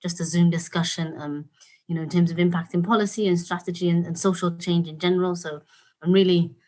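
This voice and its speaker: A woman's voice: fairly low pitch, fairly even intonation, a little fast, warm tone. Earnest woman. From Wales. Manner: earnest, with frequent fillers and restarts. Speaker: host. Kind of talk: casual conversational introduction.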